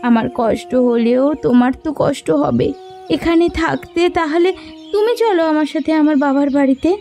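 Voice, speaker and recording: A woman's voice: high voice, moderate pace, warm tone. A woman voicing a devoted, stubborn young village housewife in a cartoon, with a pleading, emphatic delivery. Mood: insistent, devoted. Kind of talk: Bengali fairy-tale cartoon dubbing. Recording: music bed under the voice.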